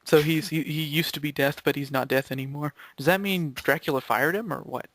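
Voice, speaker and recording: A man's voice: fairly high pitch, fairly quick, a bit bright, no room sound. A man in casual joking banter, with a goofy, incredulous manner. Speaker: member of a gaming video group.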